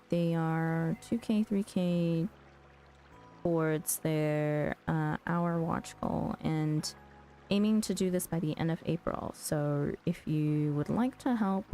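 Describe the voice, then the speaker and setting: A woman with a slightly low, relaxed voice hosts a livestream. She speaks in a casual, considerate way with a gravelly fry in her tone. Music plays faintly behind her. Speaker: livestreamer hosting own channel. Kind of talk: casual livestream sign-off chat to viewers. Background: background music.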